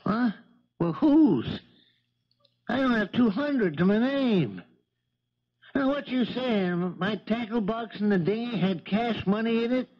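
A folksy old sea captain, higher-pitched. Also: male voice, lively intonation, slow pace, heavily acted. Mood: bewildered, indignant. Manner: folksy, ungrammatical working-man speech. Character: old charter boat captain, folksy, shaken and indignant. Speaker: radio drama actor. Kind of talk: 1970s radio drama acting. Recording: muffled vintage radio broadcast recording.

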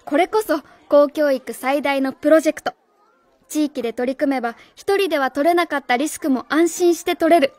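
A woman's voice: sits high, fairly quick, a little mellow, no room sound, natural voice. A woman acting in a Japanese radio drama as a stiff, earnest young schoolteacher, proud yet vulnerable, speaking formally and politely. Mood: earnest resolve.

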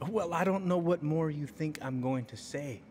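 A man's voice: a little high, moderate pace, darkish tone, slight background noise, close to an everyday voice. An actor playing a stern, weary, middle-aged male police chief with an American accent in a video game, speaking firmly and curtly. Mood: harried, firm. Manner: firm, curt. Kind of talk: video game dialogue acting.

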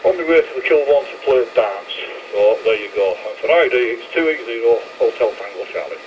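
A man's voice: mid-range voice, expressive, fairly quick. A man chatting chummily and a little hesitantly through a handheld two-way radio. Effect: heard through a two-way radio. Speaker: amateur radio operator. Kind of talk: casual two-way radio chat. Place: outdoors, walking along a street. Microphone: handheld transceiver. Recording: dull, noisy handheld radio transmission.

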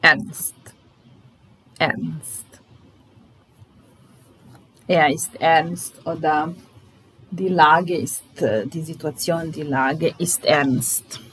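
A woman, teaching vocabulary in a deliberate, repetitive, instructional way. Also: deep voice, steady tone, fairly bright tone, rough recording. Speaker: language teacher. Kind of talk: vocabulary lesson, word-by-word dictation with repeated phrases. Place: small room indoors. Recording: background noise with keyboard typing.

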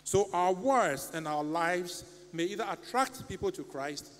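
A man's voice: high voice, a little slow. A middle-aged man from Ghana with a Ghanaian English accent, preaching a sermon from the pulpit in an earnest, pleading manner. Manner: earnest, pleading, animated hand gestures. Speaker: lay preacher. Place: church sanctuary. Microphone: stand mic at pulpit. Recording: long church reverb, faint hum.

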